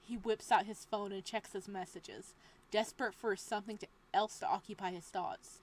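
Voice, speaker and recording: A woman reading a visual novel aloud as a let's play host, moving between dramatic narration and casual, expressive reactions. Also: mid-range voice. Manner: expressive, exclamatory asides with laughter between measured reading.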